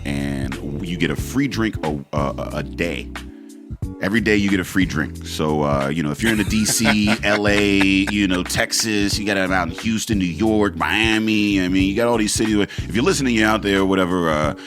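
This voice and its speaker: Low-voiced dude hosting a podcast in a studio, loose and chill, music under it. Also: male voice, moderate pace. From Pittsburgh, USA. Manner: laid-back, loose, swearing, many fillers. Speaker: podcast host. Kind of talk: casual podcast chat, reading sponsor plugs.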